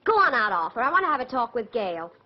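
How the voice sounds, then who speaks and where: A bossy agency boss fires off orders in a high, lively voice that swoops around. The old soundtrack is dull with a faint hiss behind her. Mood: brisk. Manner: brisk and bossy, snapping orders. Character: bossy brisk female agency manager. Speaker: escort agency manager. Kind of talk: 1940s British film comedy acting.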